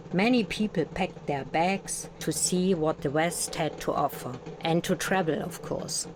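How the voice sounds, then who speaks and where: Actress as East German woman looking back, lowish voice, reflective first-person narration for a motion comic. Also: background noise, natural voice.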